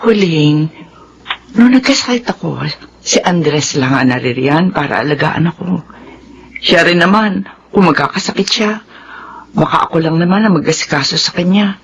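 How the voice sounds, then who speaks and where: A woman's voice: on the low side, lively intonation, moderate pace, pauses often, highs cut off, slight background noise. An actress playing a resolute, practical middle-aged woman in a Filipino radio drama, reasoning earnestly and matter-of-factly.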